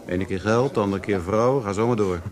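An actor playing a jaded, wry older brother in a Dutch radio play, talking offhandedly. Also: male voice, lowish voice, brisk, natural voice. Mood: wry, resigned.

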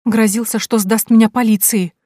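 Pleading young woman impostor, sobbing, high, imploring, voiced by a woman narrator. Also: steady tone, moderate pace, warm tone, no room sound, natural voice. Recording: dry close recording.

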